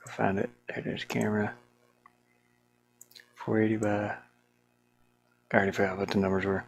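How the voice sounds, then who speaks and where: A solo game developer thinking aloud in a casual, unscripted way, with a creaky voice and colloquial, mildly grumbling speech. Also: low voice, level tone, normal speed, poor audio. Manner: muttering to self, grumbling mildly, colloquial 'ain't' and double negatives. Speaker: solo game developer narrating their work. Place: small warm room with a fan, indoors.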